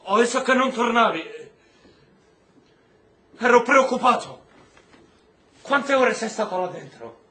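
A very high, bright male voice in a roomy, echoing space as a gentle prisoner from Kabul. He is a loyal, caring friend who speaks with earnest, stagey feeling and measured pauses. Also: big pitch swings, full character voice. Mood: worried, reassuring. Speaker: actor. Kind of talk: Italian stage-style radio drama acting.

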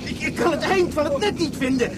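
Casting: jittery, stuttering military subordinate, extremely high pitch, sci-fi radio play, loud din behind. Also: male voice, expressive, fairly quick. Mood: anxious. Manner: stammering, fearful, panicky. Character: nervous, stammering male underling of a space colonel. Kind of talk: Dutch science-fiction radio play acting. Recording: very noisy radio drama, clamour behind.

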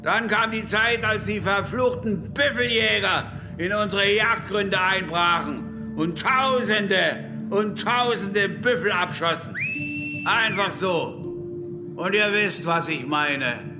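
Slow, high-pitched proud Comanche warrior. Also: male voice. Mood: indignant, solemn. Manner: solemn, deliberate, defiant oratory. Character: proud, bitter male Comanche warrior telling his story. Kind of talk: German radio play acting. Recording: music bed behind the voice.

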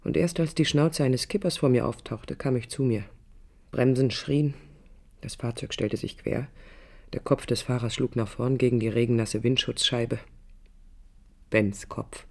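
A woman's voice: extremely low pitch, steady tone, unhurried, a little mellow, exaggerated voice. An actress playing a proud, brooding woman architect in a radio play, speaking in an introspective, literary and bitter way. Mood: tense recollection. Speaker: lead character and first-person narrator. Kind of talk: radio play acting, interior monologue and dialogue. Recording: studio radio drama with music behind.

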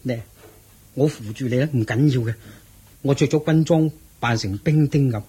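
Husky, loyal palace guard. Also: male voice, medium pitch, moderate pace, mellow. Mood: urgent, pleading. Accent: Hong Kong Cantonese. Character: loyal male retainer disguised as palace guard, cautious. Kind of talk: Cantonese radio drama acting.

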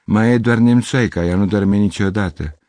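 A man voicing a drowsy, curt old woman who keeps a gate, in a storybook reading, with a somewhat raised and louder voice. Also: lowish voice, no room sound, voice pushed up, close to an everyday voice. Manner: sleepy, curt.

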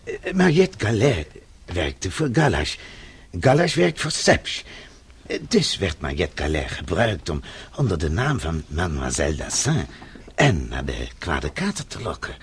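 An actor playing a grave, courteous middle-aged gentleman in a radio play, with a husky voice and halting manner. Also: big pitch swings, heavily acted. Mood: halting realisation. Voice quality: very husky, deep. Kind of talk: Dutch radio play acting.

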